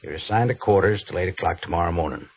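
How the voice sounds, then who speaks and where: An actor playing a curt, commanding cavalry major in an old radio drama, speaking with stern authority. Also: male voice, low voice, quick pace, breathy edge, dry recording. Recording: dull old radio recording.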